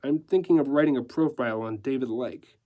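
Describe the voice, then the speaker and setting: A man's voice: mid-range voice, brisk, phone-quality sound, natural voice. A man reading the part of an unruffled veteran male newspaperman in a story, asking plain, direct questions. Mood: probing.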